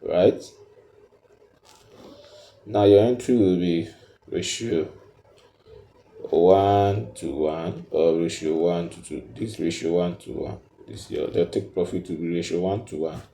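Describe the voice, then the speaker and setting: Low-voiced guy doing a tutorial, really dark soft tone, kinda halting, roomy echo. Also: slight background noise. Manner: halting, repeats himself as he points things out. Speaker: trading tutor. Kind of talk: explanatory screen tutorial, step by step.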